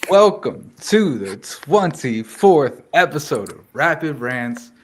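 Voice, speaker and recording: Male podcast host, upbeat and chatty, a touch high-pitched. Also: big pitch swings, takes it slowly, a little hiss or hum. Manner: upbeat, loose and conversational. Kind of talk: casual podcast chat.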